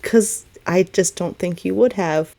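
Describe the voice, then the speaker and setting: A woman's voice: lowish voice, measured pace, vocal fry, dark tone. A woman running a tabletop game as game master, casually narrating in a rambling, candid way and swearing freely.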